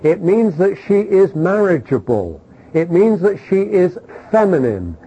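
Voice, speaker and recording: A man's voice: sits high, very animated, a little slow, dark tone, breathy edge, highs cut off. A man teaching a Bible class in an emphatic way, often asking his listeners questions.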